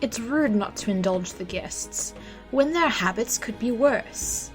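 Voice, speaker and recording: A woman's voice: a little high, lively intonation, unhurried, soft background music. A woman playing a blunt, cool tavern keeper. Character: blunt female afterlife tavern keeper, wife.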